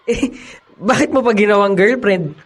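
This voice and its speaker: Man acting teasing young student friend, laughing, a bit higher voice. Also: normal speed. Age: young adult. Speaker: actor in a radio drama. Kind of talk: radio drama acting.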